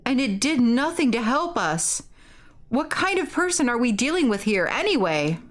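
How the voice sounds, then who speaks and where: A woman's voice: a little high, big pitch swings, moderate pace, voice pushed up. A woman voicing a tough teenage schoolboy in a casual, matter-of-fact way, reading game dialogue aloud. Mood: blunt, disbelieving. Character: tough, blunt teenage high school boy.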